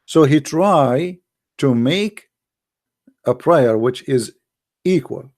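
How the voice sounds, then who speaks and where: A man's voice: mid-range voice, darkish tone. Argumentative man firing rhetorical questions. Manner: slightly broken English grammar. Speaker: religious debater. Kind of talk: polemical monologue.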